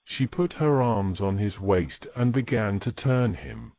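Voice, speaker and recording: Male narrator, fairly deep, reading story prose in a dry, slightly dull recording. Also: big pitch swings, measured pace.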